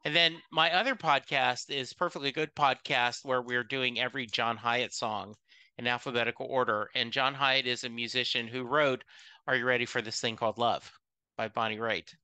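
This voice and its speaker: A man's voice: medium pitch, fairly even intonation, bright, forceful tone, smooth, dry recording. A man hosting a podcast, chatting casually and rambling a little as he shares music trivia. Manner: rambling, with restarts and drawn-out words. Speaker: podcast host. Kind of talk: casual interview chat.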